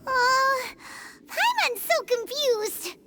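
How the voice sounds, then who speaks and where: An excitable little fairy companion from a video game, with an extremely high, squeaky child's voice. The delivery is chirpy and exclamatory, swooping up and down, over clearly audible noise. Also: female voice, drawn-out words, full character voice. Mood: confused, incredulous. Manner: chirpy, exclamatory, talks in third person. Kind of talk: animated video game character dialogue.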